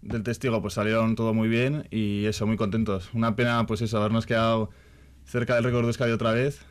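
A man's voice: fairly low pitch, little pitch movement, fast. A young man with a northern Spanish accent, talking casually as an athlete being interviewed. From Vitoria-Gasteiz, Basque Country, Spain. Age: young adult. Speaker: athlete guest, hurdler.

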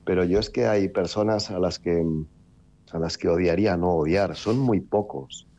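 Very deep-voiced man, wry and rambling. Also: normal speed, mellow, muffled recording. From Basque Country, Spain. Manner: rambling, many restarts and fillers, wry. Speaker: author. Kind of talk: relaxed interview talk.

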